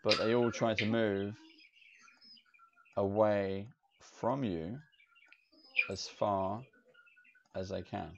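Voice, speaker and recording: Man, game master, gravelly voice, muffled lo-fi audio with light hiss. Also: on the low side, drawn-out words, long pauses. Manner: relaxed, meandering narration with fillers. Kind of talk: narrating a tabletop game. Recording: dull lo-fi audio, faint hiss.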